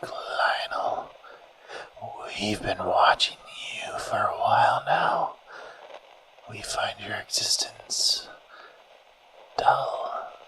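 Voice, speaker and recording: A menacing supernatural voice from the radio, whispering sharply and very slowly. It mocks and taunts with drawn-out, swooping phrases, in the manner of horror audio drama. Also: male voice, lowish voice, pushed and bright, exaggerated voice, poor audio.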